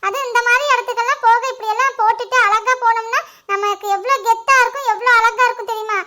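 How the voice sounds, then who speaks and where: A young daughter who giggles and chatters about her cosmetics, playful and vain. Her voice is very high and bright, as a woman acts her in a family comedy skit. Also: steady tone, quick pace. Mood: chatty.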